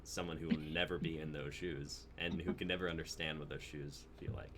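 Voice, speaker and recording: Low-voiced young man hosting a livestream. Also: flat delivery, a little fast, noisy background. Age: young adult. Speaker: host of a script-reading livestream. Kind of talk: casual livestream group chat.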